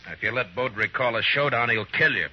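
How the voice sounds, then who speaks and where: An American actor playing a stern, steady frontier marshal in a radio western, terse, commanding and plain-spoken. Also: male voice, mid-range voice, fairly quick, slight background noise, natural voice. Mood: concerned, urging. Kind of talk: 1950s radio western acting. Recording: muffled old radio recording.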